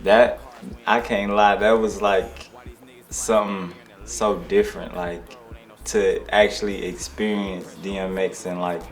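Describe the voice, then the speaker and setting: A young man from Detroit speaks in a very low voice. He rambles in a relaxed, casual way, restarting sentences and dropping slang as a rapper sitting for an interview. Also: slow, soft background music. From Detroit, USA.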